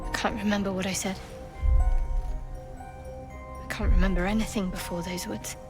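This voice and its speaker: A woman playing a guarded, secretive young cleric of a dark goddess in a video game, speaking in a measured, reserved, wry way. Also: mid-range voice, flat delivery, natural voice. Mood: wistful. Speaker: video game companion character. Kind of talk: video game cutscene acting. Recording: music behind the voice.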